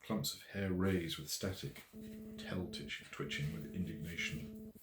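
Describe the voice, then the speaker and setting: A man with a deep voice narrates a story in a measured, expressive literary style. The recording is roomy, with audible echo around the words. He reads scripted prose as an audiobook narrator. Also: background noise. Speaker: author reading own novel aloud.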